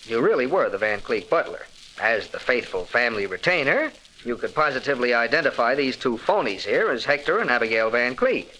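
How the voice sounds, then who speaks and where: A man's voice: medium pitch, animated, fairly quick, sharp tone, rough recording. A man playing a cocky, wry young newspaper photographer with slangy talk, 1940s radio style. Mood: wry, defiant. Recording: hissy old 1940s radio recording.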